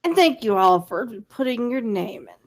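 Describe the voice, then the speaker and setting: A woman's voice: on the high side, sing-song, moderate pace. A woman playing an elderly male headmaster wizard, commanding yet genial, with formal, courteous phrasing in an amateur audio drama. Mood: genial, ceremonial.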